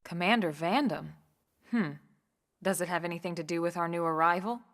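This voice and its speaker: A woman's voice: lowish voice, a little fast, lightly acted. A woman playing a cool, capable military team leader in a video game cutscene, composed, dry and matter-of-fact. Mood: dry, wry. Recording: very loud music behind the voice.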